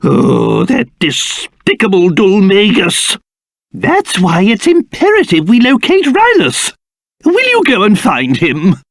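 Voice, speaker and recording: A man's voice: high-pitched, wildly swooping pitch, takes it slowly, gravelly, no echo, clean studio sound, full character voice. Wildly swooping, pompous old creature king. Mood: plaintive, earnest. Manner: pompous, theatrical, exclamatory. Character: fussy, haughty old cursed king, a doting father turned strange creature. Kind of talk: video game character voice acting.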